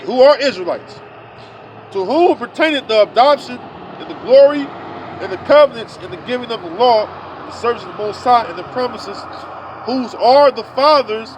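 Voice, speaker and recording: A man's voice: extremely high pitch, slight background noise, low-quality recording. A man teaching outdoors, reading verses aloud and then explaining them in blunt, casual street talk with many filler sounds. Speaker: street preacher. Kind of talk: scripture teaching.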